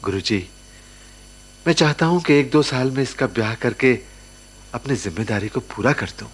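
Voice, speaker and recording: An actor in a radio drama playing a worried middle-aged uncle, earnest and deferential in manner. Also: male voice, mid-range voice, normal speed, slightly rough, natural voice. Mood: respectful, troubled. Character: worried middle-aged uncle, respectful guardian of his niece.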